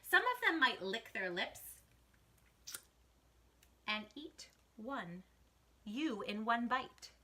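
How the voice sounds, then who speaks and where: A woman hosting storytime, reading a picture book aloud to children with animated, dramatic emphasis and hushed asides. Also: fairly high pitch.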